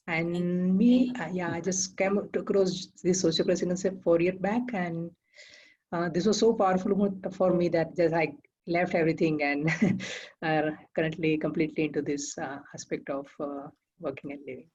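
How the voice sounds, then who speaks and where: A man from India with an Indian English accent introducing himself on a call, soft-spoken and hedging with many fillers. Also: fairly high pitch, slightly rough, muffled recording. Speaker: technical support. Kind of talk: casual self-introduction. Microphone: video call.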